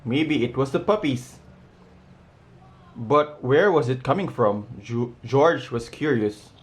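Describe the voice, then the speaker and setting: A man reading a children's storybook aloud in a deliberate way, pausing between short, simple phrases. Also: medium pitch, expressive. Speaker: storybook reader. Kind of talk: read-aloud of a children's picture book.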